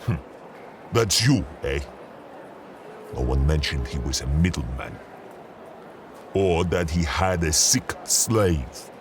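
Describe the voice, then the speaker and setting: A gruff castle guard acted by a man speaks very low and slowly, sneering at visitors. His delivery swings widely in pitch, in audio drama style, over faint background noise. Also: expressive, exaggerated voice. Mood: contemptuous. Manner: gruff, sneering, curt commands.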